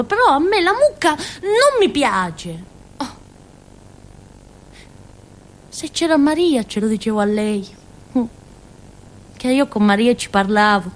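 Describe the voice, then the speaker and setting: Stage monologue role: naive young village simpleton, Calabrian accent, very lively pitch swings, chatty and confiding, rambling with small grunts. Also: female voice. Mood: childlike confiding. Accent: southern Italian, Calabrian. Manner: childlike, rambling, punctuated by little grunts and tag questions. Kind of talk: theatrical first-person monologue in colloquial dialect-tinged Italian.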